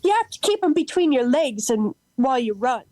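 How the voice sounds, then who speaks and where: A woman playing an eccentric, cheerful character in an amateur audio play, reading with halting lists and emphatic bursts. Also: high voice, sing-song, dark tone, no room sound, studio quality. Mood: enthusiastic. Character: eccentric, cheerful woman proud of odd sandwiches and games.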